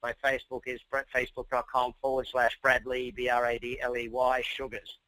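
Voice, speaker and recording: Bright-voiced Australian man coaching by webinar. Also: mid-range voice, a little fast, no echo, rough recording. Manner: energetic, persuasive, talks straight to listeners. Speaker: business coach. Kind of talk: webinar presentation. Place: office or home.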